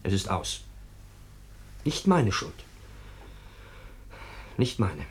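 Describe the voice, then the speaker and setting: A man's voice: deep voice, huge pitch swings, extremely slow, slight background noise, exaggerated voice. An actor in a German radio drama playing an evasive, self-pitying policeman with a clipped, defensive delivery. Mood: defensive, cold. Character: evasive, self-pitying policeman who jilted his bride.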